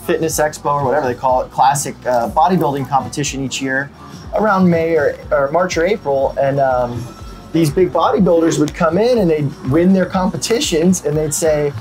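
A man's voice: fairly high pitch, moderate pace, darkish tone, soft background music, low-quality recording. A young man, talking casually and a little ramblingly about his restaurant's food, with lots of fillers and restarts. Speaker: restaurant owner. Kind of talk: casual interview about the menu. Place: indoors at a restaurant table.

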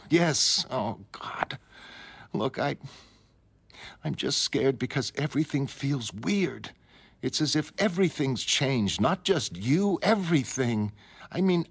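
Man voicing an anxious woman, pitched way up. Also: sing-song, husky, no echo, exaggerated voice. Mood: frightened, uneasy. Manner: halting, anxious. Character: frightened, emotional young woman, the superhuman's girlfriend.

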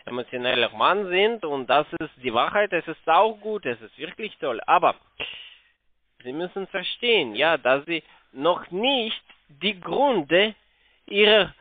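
High-pitched foreign-accented man on phone. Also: animated. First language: not German. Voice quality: halting, non-native German with broken grammar. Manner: hesitant, repetitive, often seeking confirmation. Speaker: call centre agent, phone salesman. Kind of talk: improvised sales talk on a phone call. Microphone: telephone. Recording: muffled narrow-band phone line.

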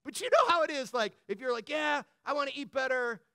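A man preaching as a pastor in a conversational, motivational style, colloquial and animated, often checking in with the listeners. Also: very high voice, brisk, no echo, clean studio sound. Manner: animated, colloquial, punctuates points with "right?" and "bro".

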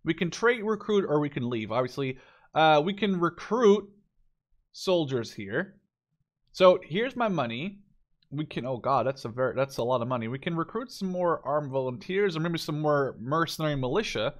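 A man's voice: a little high, expressive, moderate pace. A man giving casual, unscripted commentary on a game as he plays, informally thinking aloud. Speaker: gameplay video host.

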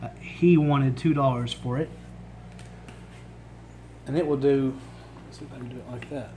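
A man with a Virginia accent, losing his voice and clearing his throat, chatting casually to a phone camera indoors as he shows off thrift finds. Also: mid-range voice, fairly even intonation, normal speed, warm tone, a little hiss or hum. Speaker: thrift reseller vlogger. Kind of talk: casual show-and-tell vlog. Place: indoor room with items on a table. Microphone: phone camera held while filming.

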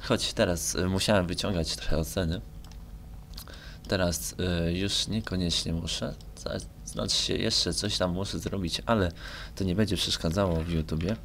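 Casting: young man, low voice, casual gamer commentary, hesitant and colloquial, noisy home mic. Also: fairly even intonation, normal speed, creaky, a bit bright. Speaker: gaming video creator. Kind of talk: casual rambling talk over gameplay. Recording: noisy home microphone recording. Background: noise with car engine sounds from the game.

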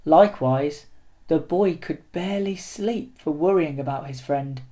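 A storyteller with a middle-pitched voice reads a picture book to young children. The delivery is slow and deliberate, with dramatic expression shaping each phrase. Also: dark tone.

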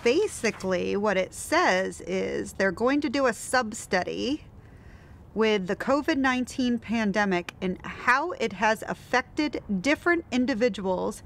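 A woman's voice: a little high, expressive, a little slow, gravelly. A woman, a study participant, chatting casually and upbeat in an interview outdoors in her driveway.

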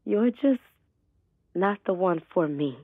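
Gentle young woman, thin phone-like sound. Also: fairly low pitch, animated, slow, no room sound, exaggerated voice. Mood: apologetic, sorrowful. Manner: gentle, careful. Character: kind, apologetic young woman, gently firm girlfriend. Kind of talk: audio drama acting.

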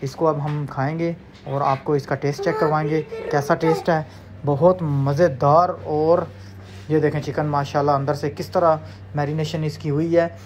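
A man's voice: on the high side, flat delivery, moderate pace, slight background noise. A man casually narrating a food vlog, upbeat and chatty, repeating his praise and talking straight to his viewers. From South Asia. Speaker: food vlogger. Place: busy shopping mall food court, indoors. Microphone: phone camera held in hand. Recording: muffled phone audio, loud mall crowd.

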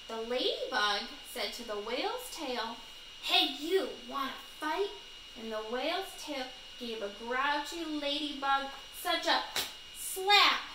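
Slow, high-voiced woman reading to children. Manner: deliberate, with stressed key words. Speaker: read-aloud teacher. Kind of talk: children's storybook read-aloud. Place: small room at home, indoors. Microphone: camera or phone a short distance away. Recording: roomy, clearly noisy home recording.

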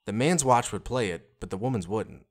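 A man's voice: fairly low pitch, lively intonation, moderate pace. A man voicing a plain-spoken former restaurant owner in a conversational re-enacted interview quote. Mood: plain recollection.

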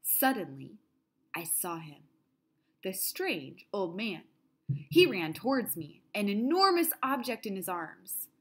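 A woman reading a children's picture book aloud in an expressive style, with deliberate, dramatic phrasing. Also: mid-range voice, husky. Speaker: storybook reader.

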